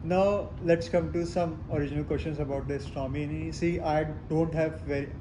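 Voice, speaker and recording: Halting man asking interview questions. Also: a little high, steady tone. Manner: halting, friendly, teasing. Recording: clear background noise.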